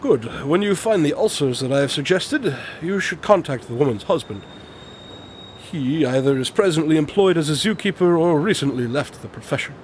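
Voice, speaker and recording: A man's voice: on the high side, animated, moderate pace, slight background noise, close to an everyday voice. A man acting in a radio drama as a brilliant, aloof detective, speaking formally and precisely. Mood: confident, probing. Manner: precise, formal, matter-of-fact. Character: brilliant, aloof male detective explaining his deductions.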